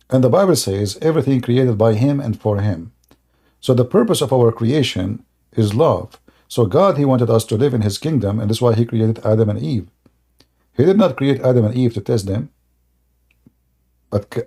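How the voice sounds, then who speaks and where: A man, hosting a live-streamed religious debate and explaining slowly with many fillers and restarts. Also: medium pitch, dark tone. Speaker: debate host.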